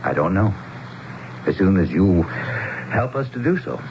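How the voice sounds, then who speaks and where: An actor in a radio drama playing a grave, formal man trapped in a strange place, speaking in a measured way. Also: low-pitched, big pitch swings, exaggerated voice. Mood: grave, expectant. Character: grave man trapped behind an invisible curtain. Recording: muffled, noisy old radio broadcast.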